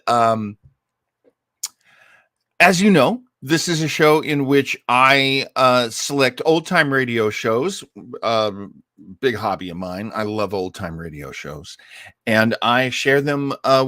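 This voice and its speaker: A man chats casually as the host of a listening show, his voice sitting at a middling pitch. He rambles in a jokey, self-deprecating manner, and the sound is dry and free of room echo. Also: expressive, slow, sharp tone. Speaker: host of an old-time radio listening show. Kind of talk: casual livestream chat.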